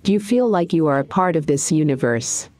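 A woman guiding a meditation in a calm, deliberate, soothing way, with pauses between short phrases. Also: sits low. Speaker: meditation guide and coach. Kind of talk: guided meditation narration.

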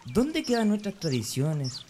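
A man's voice: high voice, huge pitch swings, a little slow, dark tone, slight background noise, heavily acted. A man acting as a zealous, dutiful young Pharisee, speaking earnestly and resolutely in an amateur radio drama. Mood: troubled, resolute.

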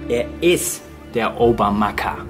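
An actor playing a wry island scientist in a naturalistic radio drama, laconic and factual. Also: male voice, fairly low pitch, expressive, a little slow, music in the background. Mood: grim, explaining. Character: dry, wry male island marine scientist.

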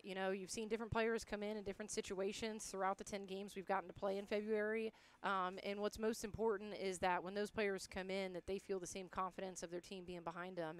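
A woman in her thirties answers like a sports coach after a game. She talks fast in a smooth, clean voice at a middle pitch, upbeat and full of colloquial coaching phrases. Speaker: college softball head coach. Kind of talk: postgame interview. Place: indoors in front of a backdrop. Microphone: headset.

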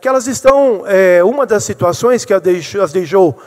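A man's voice: high voice, lively intonation, normal speed. A middle-aged man from Brazil, a city councilman, giving an earnest speech at a council podium, explaining his points and asking rhetorical questions. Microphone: podium microphone. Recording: long hall reverb.

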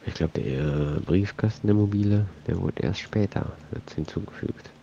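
A man's voice: low voice, moderate pace, creaky, mellow, dull audio, slight background noise. A man with a cold, casually rambling and muttering to himself while commenting on his gameplay. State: has a cold. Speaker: let's play host.

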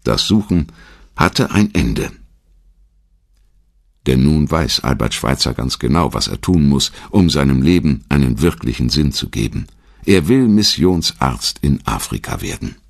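A man reading a scripted documentary narration in a measured, dramatic storytelling manner. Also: a bass voice, sing-song, creaky, no echo, clean studio sound.

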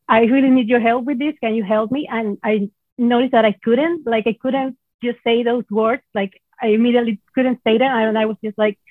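A narrow, phone-like and dry recording of a woman from Venezuela whose first language is Spanish. Her voice is fairly high, and she talks casually and haltingly, a little self-conscious, as a guest being coached. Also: no room sound. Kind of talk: casual personal chat. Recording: muffled, dry remote-call sound.

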